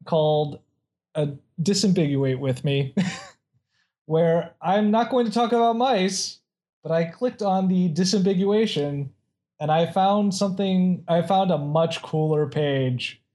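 A man's voice: high voice, slow, dark tone. A man casually chatting and reading out odd facts, rambling with many restarts and frequent laughs.

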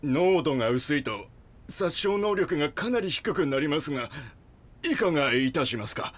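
An actor playing an anxious, deferential cult follower who speaks formally in an audio drama. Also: male voice, a little high, very animated, normal speed, a bit bright. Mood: deferential, uneasy.

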